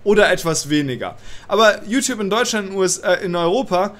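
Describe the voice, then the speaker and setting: A man's voice: high-pitched, animated, brisk, a bit bright. Agitated young man with a cold. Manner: agitated, swears, mixes in English words. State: has a cold. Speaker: political commentator. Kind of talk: casual commentary reading a law text aloud. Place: small room indoors.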